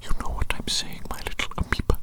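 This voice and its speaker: Woman acting as eccentric evil doctor, ASMR roleplay, breathy whisper, doting, fussy, slow repeated phrases. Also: close to an everyday voice. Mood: doting, pleased.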